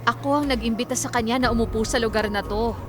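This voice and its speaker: Actress playing a nice young office lady, high voice, friendly but stands her ground, radio drama with music. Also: fairly even intonation, quick pace, natural voice. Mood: indignant, firm. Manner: friendly, firm. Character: kind, assertive young female office worker. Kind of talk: Filipino radio drama acting. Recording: music bed under dialogue.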